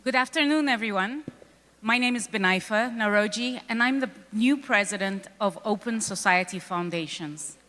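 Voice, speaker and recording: A woman's voice: medium pitch, normal speed, pushed and bright. Earnest woman speaking in an echoing hall. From Kenya. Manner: earnest, emphatic, repeats key points. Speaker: panel speaker, foundation president. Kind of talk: speech at a panel event. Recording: long reverb of a large hall.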